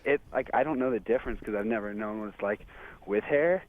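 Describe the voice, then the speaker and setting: Deep-voiced eighteen-year-old on a phone line. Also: male voice, expressive, brisk. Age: teens. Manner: casual, a little hesitant. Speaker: caller. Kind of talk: phoned-in question.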